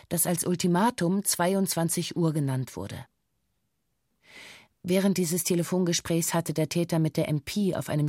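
An actress playing a bank employee who gives a sober, formal witness statement in a radio drama, factual and detached. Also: female voice, fairly low pitch, warm tone, no echo, clean studio sound, close to an everyday voice. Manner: factual, detached, precise official phrasing. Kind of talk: scripted documentary radio drama, formal testimony.

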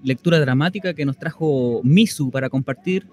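A man's voice: mid-range voice, moderate pace, a little mellow, soft background music. A man with a Chilean accent presenting an informal radio show as co-host.

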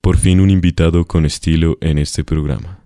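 A self-satisfied Colombian radio host, acted by a man speaks very low, with formal, pompous announcer phrasing. The satirical show sounds dry and close. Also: steady tone, moderate pace, dark tone, no room sound. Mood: smooth, controlling. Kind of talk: satirical radio play acting.

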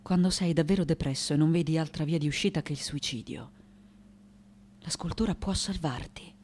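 A woman's voice: deep voice, moderate pace, darkish tone, slight background noise, lightly acted. An actress playing a passionate, eccentric woman artist in a theatrical, emphatic radio monologue. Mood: dark, subdued. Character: passionate, eccentric woman sculptor reminiscing about New York.

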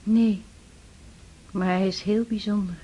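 An actress playing a calm, reassuring woman friend in a radio play. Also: medium pitch, slow pace, husky, highs cut off. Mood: gentle. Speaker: Maria, a woman. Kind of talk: radio drama acting.

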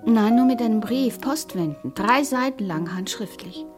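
A woman's voice: a little high, expressive, moderate pace, over music, lightly acted. An actress in a radio play playing a romantic, touchy, unsure woman in her forties who speaks earnestly. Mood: smitten. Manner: earnest, easily hurt. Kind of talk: German radio drama dialogue.

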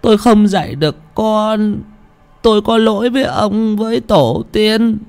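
A man voicing a grieving old widow who pleads in a high, wailing, tearful voice. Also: fairly even intonation, drawn-out words, fairly bright tone, exaggerated voice. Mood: sobbing.

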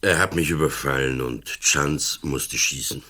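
An old police commissioner in a radio drama. His voice is extremely deep, and he talks in a calm, deliberate way with dry wit. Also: heavily acted. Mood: dry, matter-of-fact. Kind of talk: radio play acting.

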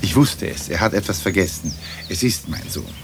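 Low-voiced, pompous old ghost lord. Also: male voice, animated, normal speed, music in the background. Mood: dry. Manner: stately, long-winded, formal diction. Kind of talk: German radio play acting. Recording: old radio recording with faint noise.

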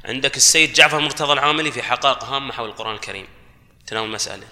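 A man, a guest on a panel, explaining his points with loud bursts of emphasis. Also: mid-range voice, very fast. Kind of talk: panel discussion. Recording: reverberant hall sound.

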